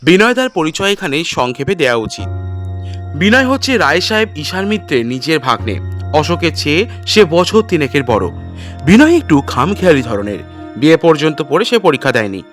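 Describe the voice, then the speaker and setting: A man narrating a detective story in a dramatic, literary, measured storytelling style. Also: a little high, very animated, fairly bright tone, soft background music.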